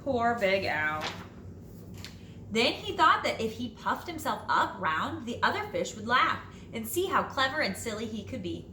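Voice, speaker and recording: Lady teacher doing a read-aloud for kids, highish bright voice, really expressive, bit of room echo. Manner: expressive, dramatic storytelling with drawn-out phrases. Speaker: teacher reading to pupils. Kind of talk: animated children's storybook read-aloud. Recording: roomy echo, light background noise.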